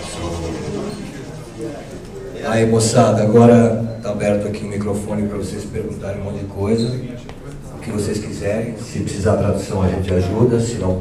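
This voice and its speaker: A man moderating a workshop, introducing a question-and-answer session in a halting way with restarts. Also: lowish voice, dark tone. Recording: echoey room, mic far, crowd behind.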